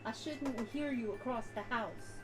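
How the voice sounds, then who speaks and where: A woman's voice: on the high side, unhurried, music in the background. A woman talking casually in a scolding way.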